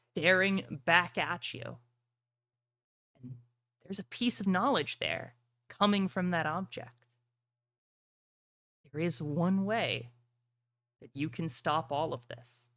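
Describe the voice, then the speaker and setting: A woman running a fantasy tabletop game as game master, narrating in a dramatic, deliberate storytelling manner. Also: lowish voice, fairly bright tone, no echo.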